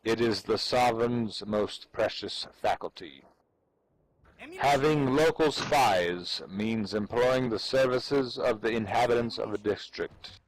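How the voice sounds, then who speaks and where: A man's voice: medium pitch, slow pace. A man giving a theatrical, portentous dramatic reading of an ancient military treatise, with playful asides. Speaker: amateur reader performing for a live audience of gamers. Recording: clearly noisy background.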